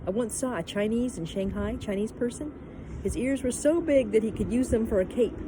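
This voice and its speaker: A woman's voice: fairly high pitch, brisk, noisy background, voice pushed up, lightly acted. A woman voicing a brash, playful young girl who tells tall tales in a bold, teasing way for a children's storybook. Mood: matter-of-fact, playful.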